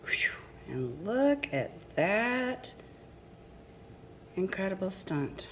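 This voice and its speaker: A woman's voice: medium pitch, very animated, extremely slow, a little hiss or hum. A woman reading a picture book aloud to children expressively, addressing young listeners directly with chatty asides. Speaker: storybook reader.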